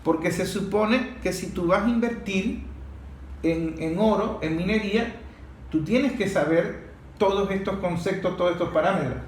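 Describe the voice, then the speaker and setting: A man's voice: high voice, normal speed. A Venezuelan man hosting an interview, putting questions in a conversational but opinionated and insistent way. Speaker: interviewer, host. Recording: echoey room with faint noise.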